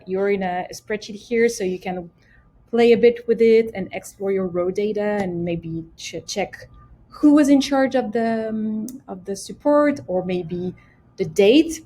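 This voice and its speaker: A woman presenting a casual software tutorial, explaining each step simply and speaking directly to the viewer. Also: medium pitch, husky. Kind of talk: casual screen-share tutorial.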